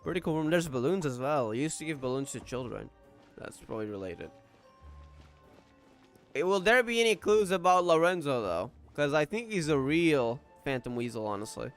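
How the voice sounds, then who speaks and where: A man giving casual playthrough commentary as a streamer, thinking aloud in a low-key, self-deprecating way. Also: big pitch swings, normal speed. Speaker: gaming streamer. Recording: game music behind the voice.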